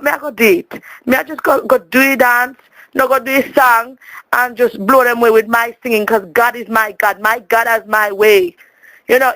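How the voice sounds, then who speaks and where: A woman's voice: on the high side, fairly bright tone, dry recording, poor audio. Chatty, dramatic Jamaican woman telling a story. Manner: dramatic, chatty, repeats words for emphasis. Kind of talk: rambling comic storytelling in a voicemail.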